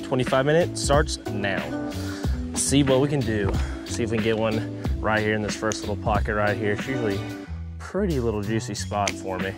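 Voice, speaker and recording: A man's voice: on the low side, moderate pace, loud music behind the voice. A young man, chatting upbeat to viewers while fishing from a small boat outdoors, recorded on an action camera. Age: young adult. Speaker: fishing vlogger. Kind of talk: casual vlog commentary.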